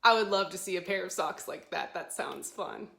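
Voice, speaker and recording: A woman hosting a storytime for young children, talking to them in an animated way with simple questions and encouragement. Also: lowish voice, normal speed, creaky. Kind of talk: children's storytime presenting to camera. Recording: roomy, echoey sound.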